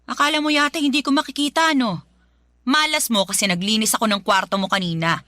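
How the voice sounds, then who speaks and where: Sharp, high-pitched scolding mother. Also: steady tone, brisk, dry recording, studio quality. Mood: stern, accusing. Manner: sharp, sarcastic, belittling. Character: harsh scolding mother berating her teenage daughter. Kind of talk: Filipino radio drama acting.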